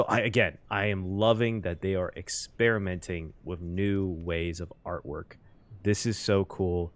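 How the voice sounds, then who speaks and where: A man's voice: low-pitched, dry recording. A man giving casual, effusive game reaction commentary as host, emotive and repeating phrases for emphasis.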